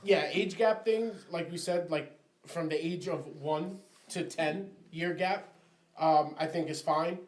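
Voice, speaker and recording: A man in his late twenties talks hesitantly as a podcast co-host, his voice a little high. He leans on fillers, and the room gives an echo. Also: measured pace. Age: late 20s. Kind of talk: casual chat. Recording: echoey room.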